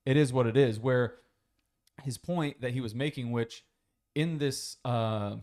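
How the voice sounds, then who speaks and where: A man's voice: mid-range voice, a little slow, a little mellow. A man co-hosting a casual podcast chat, telling a long story in a rambling way, swearing freely. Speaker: podcast co-host.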